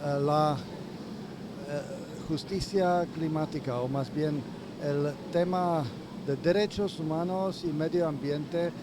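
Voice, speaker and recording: A man speaking on a conference panel, halting and full of hesitations. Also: a little high, steady tone, background noise. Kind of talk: panel remarks. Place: conference venue.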